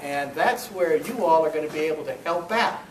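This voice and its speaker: Distant, roomy man hosting a club meeting. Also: on the high side. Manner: halting, rambling, self-correcting. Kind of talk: informal welcome and announcements to a club meeting. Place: meeting room, recorded from a distance. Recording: roomy, distant mic, faint noise.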